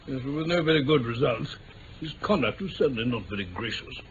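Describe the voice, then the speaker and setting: A man playing a mild, inquisitive English gentleman companion in vintage radio drama, British-accented, with a gravelly voice. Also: mid-range voice, animated, brisk, natural voice. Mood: mildly disapproving. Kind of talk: 1950s-60s BBC radio drama acting. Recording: dull vintage radio recording, faint noise.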